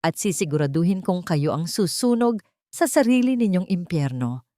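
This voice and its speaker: A woman's voice: fairly low pitch, expressive, normal speed, warm tone, dry recording, studio quality, lightly acted. A woman voicing a wounded, betrayed wife who is determined and intense, in an audiobook drama. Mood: vengeful. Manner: intense, emphatic.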